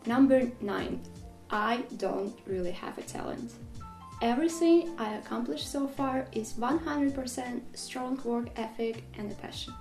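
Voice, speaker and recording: A woman in her twenties from Ukraine with a Russian accent, speaking English as a second language in a casual vlog about herself, unhurried and plain, with slightly non-native phrasing. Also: fairly high pitch, a little mellow, reverberant, soft background music. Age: 20s. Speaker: artist vlogger. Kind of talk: casual facts-about-me talk.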